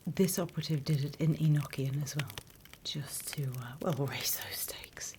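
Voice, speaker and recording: A British woman voicing a sly, wryly menacing demon instructor in a hushed, conspiratorial ASMR roleplay whisper, close to the microphone. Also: low-pitched, noisy background, close to an everyday voice. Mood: cautioning, instructive. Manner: measured, hushed, dryly conspiratorial.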